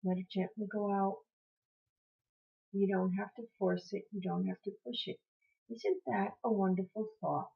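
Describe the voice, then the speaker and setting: A woman teaching guided meditation in a soothing, encouraging manner, gently repeating her phrases. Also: mid-range voice, steady tone, moderate pace, gravelly, pauses often. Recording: muffled, dull lo-fi sound.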